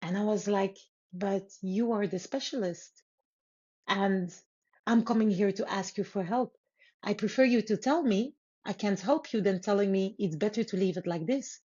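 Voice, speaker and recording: A woman from Congo whose first language is Lingala, telling her life story as a guest author in an earnest, unhurried way with pauses and restarts. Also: medium pitch, darkish tone, breathy edge. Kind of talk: reflective interview storytelling. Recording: slightly muffled audio.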